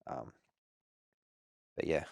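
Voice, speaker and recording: A man hosting a podcast, asking easygoing questions and signing off in a relaxed, friendly way. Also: drawn-out words, no room sound. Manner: easygoing, friendly prompts, filler-heavy. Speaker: podcast host. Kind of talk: casual interview chat.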